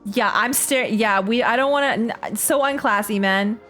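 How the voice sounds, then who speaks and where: A woman's voice: fairly high pitch, moderate pace, fairly bright tone, soft background music. A young adult woman streaming a story game, giving casual, slangy reaction commentary with exclamations and repeated phrases.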